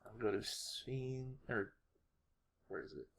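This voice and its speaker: A man's voice: mid-range voice, slow, vocal fry. Casual, hesitant man thinking aloud. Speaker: animator, video creator. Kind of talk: casual screen-recorded tutorial narration.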